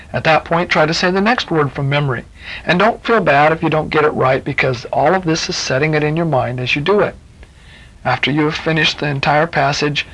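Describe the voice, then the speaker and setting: A man's voice: fairly high pitch, a bit bright, rough recording. A man giving a patient, encouraging spoken tutorial as an instructor, explaining each step plainly on a 1970s educational cassette.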